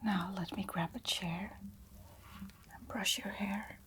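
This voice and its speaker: Slow, whispery woman playing a soothing innkeeper. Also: medium pitch, noisy background, natural voice. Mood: gentle, attentive. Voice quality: soft, breathy, largely whispered. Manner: slow, gentle, caring, reassuring. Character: Edna, soothing, reassuring woman innkeeper of fantasy forest inn. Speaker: ASMR roleplay performer. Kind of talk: cinematic ASMR fantasy roleplay.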